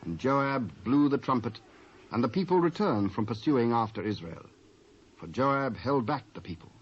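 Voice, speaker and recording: A man's voice: mid-range voice, expressive, normal speed, breathy edge. Muffled British male scripture narrator. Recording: muffled cassette transfer with faint noise.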